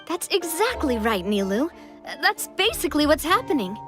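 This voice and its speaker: A woman's voice: sits high, big pitch swings, moderate pace. A woman voicing a confident, personable young female leader in a video game, assured and explanatory. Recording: faint music behind.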